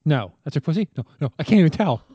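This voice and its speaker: A man co-hosting a crude, casual podcast, reacting loudly and laughing often. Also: fairly high pitch, animated, quick pace, breathy edge, dry recording, muffled recording. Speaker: podcast co-host.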